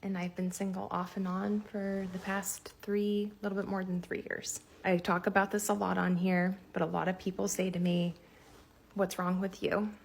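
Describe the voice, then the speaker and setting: A forty-three-year-old woman calmly telling a personal story. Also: medium pitch, moderate pace, gravelly, a little hiss or hum. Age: 40s.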